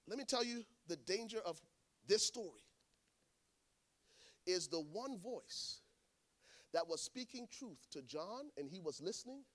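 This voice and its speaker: A man preaching a sermon to a congregation, swinging between hushed lines and shouted ones. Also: high-pitched. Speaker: pastor. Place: church in front of a congregation.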